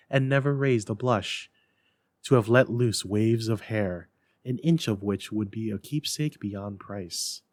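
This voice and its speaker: A man narrating a classic story as a dramatic reader, with expressive, emphatic delivery. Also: on the low side, no echo, studio quality. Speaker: library staff story reader.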